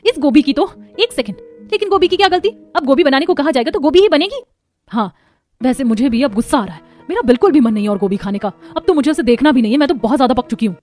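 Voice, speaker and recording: A woman acts a young Indian daughter-in-law in a family cartoon. She talks fast in a fairly high voice, anxious, earnest and given to complaint. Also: expressive, darkish tone, faint music behind. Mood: fed up. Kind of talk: animated moral-story voice acting.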